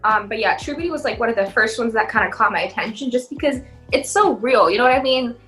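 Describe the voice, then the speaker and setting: A young adult woman co-hosting a casual podcast, telling relatable stories to friends in an animated way. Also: a little high, fast talker, faint music behind. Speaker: podcast co-host. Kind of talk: casual chat among friends.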